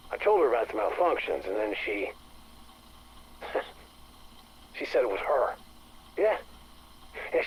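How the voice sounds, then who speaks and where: An actor playing a distressed maintenance director confessing into a game audio log, halting, stammering and bitter. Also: male voice, medium pitch, breathy edge. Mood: bitter, alarmed. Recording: faintly noisy recorded audio log.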